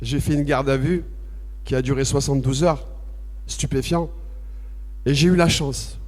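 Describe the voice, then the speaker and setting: A man from France giving a personal testimony at a public debate, halting and repetitive at first, then impassioned and emphatic. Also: medium pitch, steady tone. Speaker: association president speaking at a public debate. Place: debate hall with audience. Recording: long reverb of a large hall.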